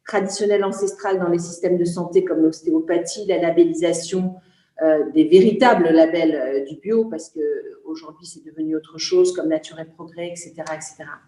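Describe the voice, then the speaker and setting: A woman from Senegal speaks earnestly, often pausing to search for her words. Her voice is warm and mellow. The room around her gives a roomy echo. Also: lowish voice. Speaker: guest, trainer. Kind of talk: spoken testimony.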